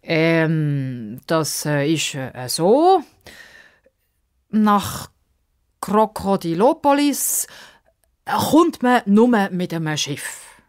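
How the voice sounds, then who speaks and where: A woman's voice: lowish voice, sing-song, full character voice. A woman voicing an embarrassed, grumbling old man who stammers and hesitates in a children's story. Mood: embarrassed, hesitant. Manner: halting, stammering, hemming and hawing.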